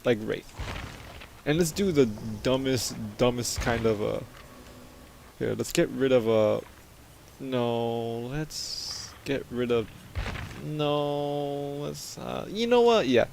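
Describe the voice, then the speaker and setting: A man with a deep voice he pushes louder, giving excitable, teasing commentary while playing a game. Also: takes it slowly, vocal fry, a little hiss or hum. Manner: excitable, loud, teasing teammates. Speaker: gamer. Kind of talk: casual gaming commentary.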